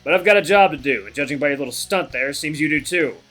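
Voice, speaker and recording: A man's voice: on the high side, quick pace, a bit bright, faint music behind. A man voicing a huge, scarred veteran dinosaur fighter with a mocking, casual, slangy way of talking, for a game story. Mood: menacing, resigned.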